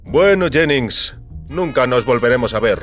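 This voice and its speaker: A man acts out an older businessman from a science fiction audio story. He is genial and brisk, speaking in a raised, projected voice with cordial, matter-of-fact delivery. Faint music plays underneath. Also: mid-range voice, animated, a bit bright, pitched above the performer's own voice, lightly acted.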